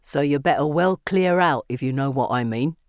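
Woman voicing a low, guarded young man. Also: brisk, darkish tone, no room sound, clean studio sound, lightly acted. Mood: commanding.

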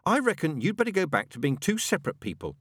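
A man's voice: on the high side, sing-song, fast talker, fairly bright tone, breathy edge, no echo, studio quality, pitched above the performer's own voice, heavily acted. A man voicing a frank, innocent young boy in an audiobook, blunt and matter-of-fact. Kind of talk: audiobook character dialogue.

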